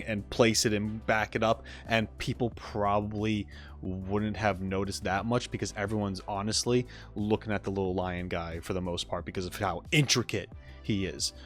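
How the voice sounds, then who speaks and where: Low-voiced man giving enthusiastic reaction commentary. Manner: enthusiastic, emphatic, drawn-out stressed words. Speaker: video host, animator reacting to animation.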